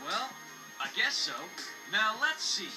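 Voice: medium pitch, very animated, a little slow. An actor playing a confident, friendly teenage boy who explains things casually in an English anime dub. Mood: instructive. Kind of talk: English-dubbed anime acting. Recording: TV playback filmed, music behind.

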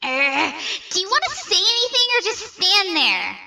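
Woman voicing a sneering, high-voiced bitter child. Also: huge pitch swings, moderate pace, creaky, pushed and bright, distant mic, heavily acted. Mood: irritated. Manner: sneering, theatrical. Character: bitter, mocking, hot-tempered fallen child with dark power. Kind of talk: comic dub character acting.

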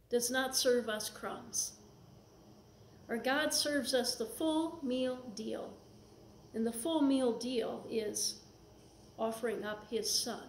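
A middle-aged woman pastor with an Upper Midwest American accent preaching a relaxed, conversational sermon in her soft voice from a small church. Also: a little high. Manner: conversational, gestures while preaching seated. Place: small church sanctuary, indoors. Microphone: fixed camera in front. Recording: echoey room, faint hiss.

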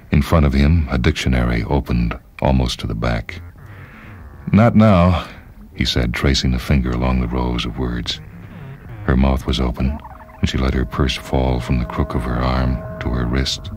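A man reading literary fiction aloud as scripted narration, in a measured, dramatic way. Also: rumbling low, a little mellow. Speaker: story reader.

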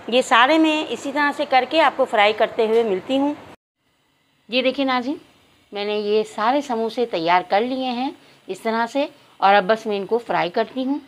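A middle-aged woman with an Urdu accent calmly explaining a recipe step by step to viewers in a home kitchen. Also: on the high side, a little hiss or hum. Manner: calm, instructive, addresses viewers as nazreen. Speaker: cooking channel host. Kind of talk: step-by-step cooking instructions.

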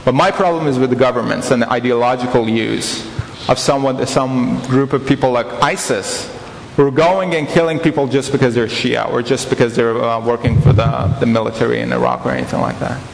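A man's voice: mid-range voice, steady tone, a little fast, creaky, slight background noise. Earnest man in an echoey hall. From Iran. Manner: earnest, gesturing, restarting phrases. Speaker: guest speaker. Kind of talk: church talk. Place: church hall, indoors. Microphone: headset mic. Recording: echoey church hall reverb.

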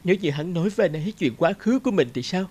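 An actress voicing a distressed, wounded young woman in an animated drama, emotional, indignant and pleading. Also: on the low side, rapid-fire, natural voice. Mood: tearful, resigned. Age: young adult. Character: distressed young engaged woman, defiant, wounded, guilt-ridden.

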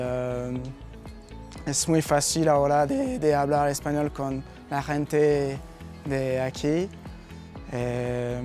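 A man who is a language student giving a testimonial, haltingly searching for words. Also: fairly high pitch, a little mellow.

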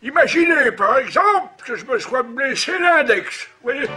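Fire chief cartoon dog: pompous, excitable, very high and sharp. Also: male voice, normal speed, husky, full character voice. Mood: hearty, commanding. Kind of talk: French cartoon dub acting.

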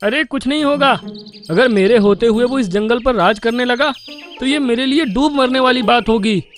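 A man doing a squeaky boastful rabbit hero. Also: moderate pace, faint music behind. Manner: blustering, threatening. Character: small male rabbit who thinks he is a lion, boastful. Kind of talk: cartoon dubbing.

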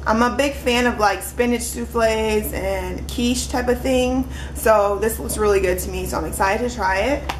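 A woman's voice: a little high, moderate pace, background noise. A young woman in her twenties chatting casually in a home kitchen, holding up groceries one by one for a webcam vlog. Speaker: vlogger.

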